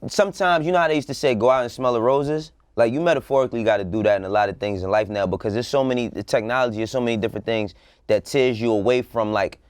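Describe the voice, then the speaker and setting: A man's voice: mid-range voice, quick pace, no room sound, studio quality. A man co-hosting a casual panel show, talking bluntly in slang with swearing and repeating himself for emphasis. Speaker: show co-host giving opinions.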